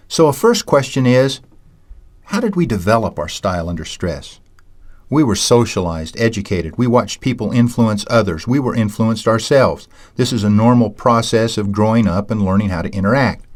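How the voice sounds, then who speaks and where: A man reading a scripted lesson in a measured, storytelling way, teaching communication skills. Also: lowish voice. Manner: measured, anecdotal, self-described ham. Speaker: audiobook narrator and seminar teacher. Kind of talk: scripted audiobook narration.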